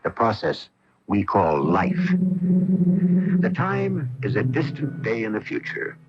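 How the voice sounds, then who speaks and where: Male mystery narrator, low and dramatically varied, portentous, deliberate, dull AM radio sound. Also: sing-song, breathy edge. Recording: dull lo-fi AM radio broadcast recording.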